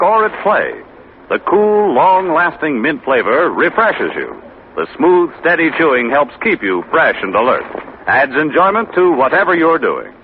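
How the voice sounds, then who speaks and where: A male radio announcer reading sponsor messages and show introductions in polished, salesmanlike 1950s style with deliberate phrasing. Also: on the high side. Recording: dull, faintly noisy 1950 radio recording.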